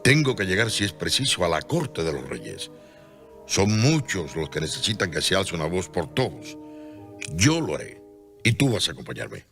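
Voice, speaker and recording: Radionovela: selfless, determined friar, earnest and declamatory, very low-pitched, animated intonation. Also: male voice, normal speed, soft background music, full character voice. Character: devout, selfless friar, ailing but determined. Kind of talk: solemn radio drama acting.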